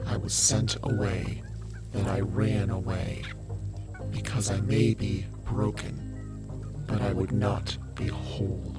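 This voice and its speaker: A woman voicing a broken, solitary, defiantly feral outcast in a low, whispery, incantatory audio drama monologue. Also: flat delivery, slow pace. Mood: bleak, defiant. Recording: loud music behind voice.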